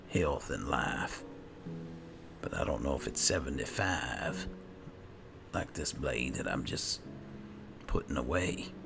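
Extremely low male voice, heavy vocal fry, halting game commentary, music behind. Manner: halting, musing to himself. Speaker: gaming host, player. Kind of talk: roleplay gameplay commentary.

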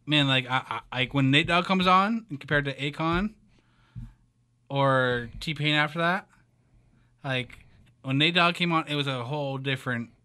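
Young male podcast co-host, bright tense tone, eager "yo" starts, close dry studio sound. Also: a little high, a little slow, pauses often, clean tone, no room sound. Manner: excitable, starts lines with "yo", stammers in restarts. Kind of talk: casual chat. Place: small radio studio. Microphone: studio mic on arm, headphones.

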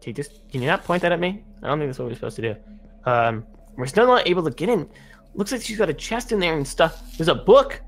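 A man's voice: fairly high pitch, lively intonation, quick pace. A man playing an eager, worried young son in an improvised video game roleplay, questioning and exclaiming as he talks. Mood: wary. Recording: game music behind voice. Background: background music.

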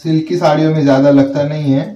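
A man's voice: a little high, level tone, fast, warm tone, roomy sound, lo-fi sound. A man in his thirties narrating a casual step-by-step laundry how-to, talking to viewers as friends in an indoor workshop. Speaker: laundry business owner.

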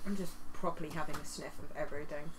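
A woman's voice: sits low, steady tone, quick pace, low-quality recording. A woman playing a tabletop roleplaying game, casually describing what her character does. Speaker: player. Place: living room, group seated around a table. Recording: faint room noise.

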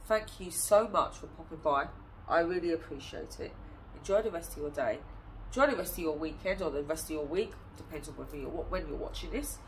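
A woman's voice: deep voice, fairly quick, a little hiss or hum, low-quality recording. A woman in her thirties with a stuffy nose from a cold, chatting directly to camera as an early years teacher, asking her viewers lots of questions. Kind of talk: casual teaching talk to camera. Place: small indoor room, seated on a chair. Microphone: phone camera at a short distance.

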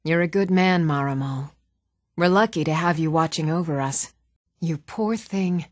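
An actress voicing earnest, even-toned townswomen in a fantasy video game, speaking in a measured, clearly enunciated way. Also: female voice, low-pitched, dry recording, clean studio sound. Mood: devout, kindly. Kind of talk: scripted video game voice acting.

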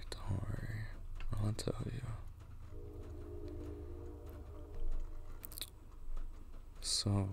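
A man playing a sleepy, needy boyfriend shaken by a nightmare, murmuring haltingly in an intimate improvised ASMR roleplay. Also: low voice, vocal fry, slight background noise, exaggerated voice. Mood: shaken, confiding.

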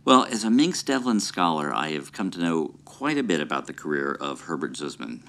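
A man playing a pompous, deep-voiced scholar. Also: very animated, fairly quick, a bit bright, exaggerated voice. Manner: pompous, expository. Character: pompous middle-aged male scholar. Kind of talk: mock documentary interview.

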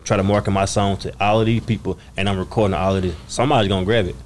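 A man's voice: low voice, steady tone, very fast, slight background noise. A man, chatting confidently and insistently on a podcast panel. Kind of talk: casual chat. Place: podcast studio. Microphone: close studio microphone on arm.

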